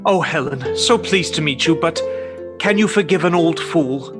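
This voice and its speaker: A man's voice: fairly high pitch, moderate pace, natural voice. A man playing a repentant old miser in a radio play, emotional and theatrical, often repeating his words. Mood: humble, apologetic. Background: music.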